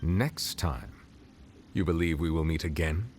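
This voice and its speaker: An actor playing a dignified male chief justice who speaks in a formal, measured, deliberate way, heard as game dialogue over faint music. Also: extremely low pitch, a little mellow, a little hiss or hum. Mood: curious.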